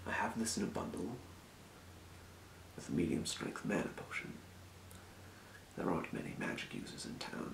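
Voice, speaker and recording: A man playing a calm fantasy potion shopkeeper in a breathy, measured, courteous whisper for an ASMR role play. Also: sits low, roomy sound, noisy background, full character voice. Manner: measured, murmuring, courteous merchant patter. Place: small indoor room.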